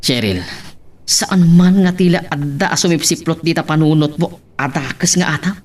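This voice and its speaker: A man's voice: fairly high pitch, normal speed, darkish tone, lightly acted. A man with a Filipino accent playing an exasperated young husband in an audio drama. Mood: exasperated, pleading. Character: exasperated young husband pleading with suspicious wife. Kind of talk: Ilocano radio-style drama acting.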